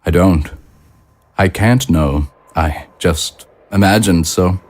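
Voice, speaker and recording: Man playing courtly, formal low-voiced consul. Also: slow pace, darkish tone. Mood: politely pointed. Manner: formal, stately, politely condescending. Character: courtly, smooth-talking male consul of the living. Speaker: actor in an audio drama. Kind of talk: dramatic dialogue acting.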